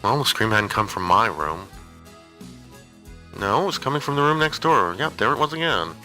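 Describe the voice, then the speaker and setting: A man's voice: on the low side, sing-song, normal speed, sharp tone, soft background music. A man giving an informal, rambling personal monologue with a hesitant, digressive and wry way of talking. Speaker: storyteller on an audio zine.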